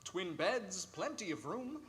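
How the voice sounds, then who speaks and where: An actor playing a friendly, earnest bachelor lawyer in a 1940s-style radio play, speaking in an affable, casual, slightly hesitant way. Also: male voice, high voice, big pitch swings, takes it slowly, fairly bright tone, full character voice.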